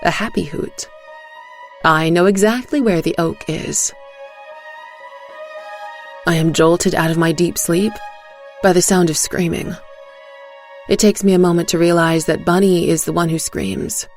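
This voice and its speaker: Slow, suspenseful woman storyteller over music. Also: on the low side, steady tone. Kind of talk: scripted dramatic narration of a dark tale.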